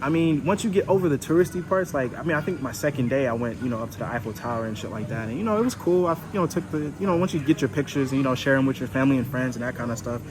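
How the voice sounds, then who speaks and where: A man's voice: medium pitch, expressive, very fast, hardly any pauses, background noise. A man hosting a casual interview over a meal, rambling with lots of "you know" and "like". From United States. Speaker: host.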